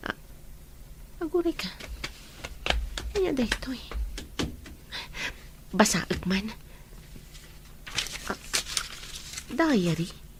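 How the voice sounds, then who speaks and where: A woman with a Filipino accent playing a young, secretly troubled friend in an Ilocano audio drama, with slow, deliberate delivery. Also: mid-range voice, background noise. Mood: suspicious, musing.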